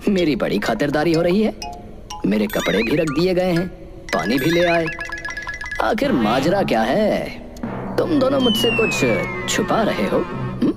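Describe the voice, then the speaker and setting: Man acting a puzzled, animated farmer big brother. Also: on the high side, measured pace, over music. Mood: suspicious. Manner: questioning, concerned. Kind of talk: Hindi animated fairy tale acting.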